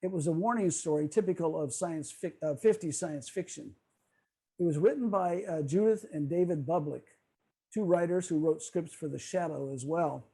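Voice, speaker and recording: A man presenting a semi-scripted talk on a video call, explaining in a measured way with occasional stumbles as he reads. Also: on the high side, mellow, husky. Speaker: club presenter on old-time radio.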